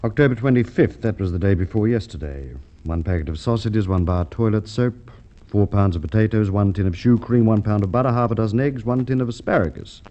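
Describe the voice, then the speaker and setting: An actor playing a shrewd police inspector with an Australian accent, deliberate and courteous in the style of golden age radio drama. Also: male voice, fairly low pitch, dark tone, natural voice. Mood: musing, assured. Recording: dull vintage radio drama recording.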